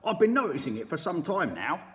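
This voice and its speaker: A man's voice: on the high side, sing-song, a little fast, long reverb tail, voice pushed up. A man voicing a nasty old man who gloatingly taunts his wife in a storybook reading. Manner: taunting, mock-solemn.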